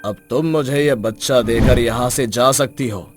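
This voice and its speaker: A sinister sorcerer who steals children, played by a man, speaking with cold command and menace. His mid-pitched voice carries over faint background music. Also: measured pace, natural voice. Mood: menacing.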